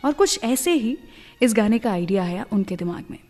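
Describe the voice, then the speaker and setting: A woman's voice: medium pitch, expressive, normal speed, mellow, in a large hall, soft background music. A woman hosting a radio show, telling anecdotes in a chatty, confiding way and slipping English words into her talk. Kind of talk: scripted radio storytelling.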